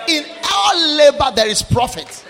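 A man from Africa preaching a sermon, swinging between shouted lines and low, quiet asides. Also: very animated, measured pace, creaky, crowd noise. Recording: live sermon with long hall reverb.

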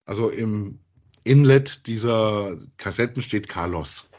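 A man's voice: on the low side, slow pace, darkish tone. A man chats casually as a podcast co-host, in colloquial phrases with frequent little tags seeking agreement. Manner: colloquial, often tags sentences with "ja". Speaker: co-host of a podcast about radio plays. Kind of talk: casual conversational chat.

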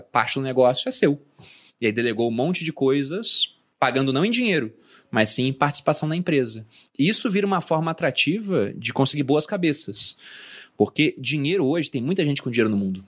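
A Brazilian man co-hosting a podcast, explaining things and telling stories in casual chat, getting animated as he goes. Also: medium pitch, fairly quick. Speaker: podcast co-host.

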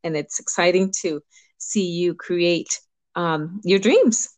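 A middle-aged woman from Cuba, a guest coach, speaking thoughtfully with ums and pauses. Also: on the low side, no room sound. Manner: warm, reflective, pauses with ums. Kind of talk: interview conversation.

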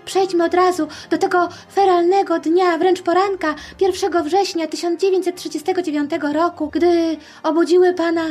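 A woman's voice: extremely high pitch, steady tone, normal speed, hardly any pauses, darkish tone, voice pushed up. A woman voicing a female radio interviewer in an audio drama, formal, earnest and respectful with the guest. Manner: formal, courteous questioning.

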